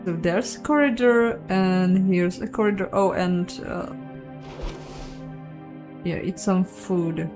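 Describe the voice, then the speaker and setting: A woman's voice: fairly low pitch, slow, long pauses, music in the background. A woman giving casual, playful let's play commentary, chatting and thinking aloud as she goes. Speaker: host of a game playthrough.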